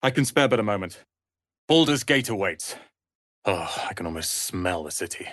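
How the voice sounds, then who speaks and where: An actor playing a proud, irritable young male wizard apprentice in a fantasy video game, haughty, theatrical and sharp-tongued. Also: low voice, expressive, moderate pace, no echo, studio quality.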